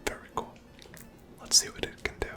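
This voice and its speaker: A person whispering gentle, chatty ASMR commentary over a video game, breathy and close to the microphone. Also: a little fast, over music. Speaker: gameplay host.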